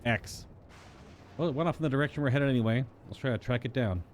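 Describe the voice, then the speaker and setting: A man's voice: medium pitch, quick pace, a little hiss or hum. A man live-streaming a game, reading story dialogue aloud in character and now and then stumbling over invented names. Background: game music.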